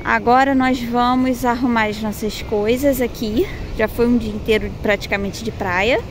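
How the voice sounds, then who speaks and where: A woman's voice: on the high side, steady tone, normal speed. A woman in her thirties with a Brazilian Portuguese accent, chatting casually to the camera as a travel vlogger, repeating words for emphasis. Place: outdoors by a seaside town, windy. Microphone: phone camera held at arm's length. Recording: outdoor phone audio with wind and traffic noise.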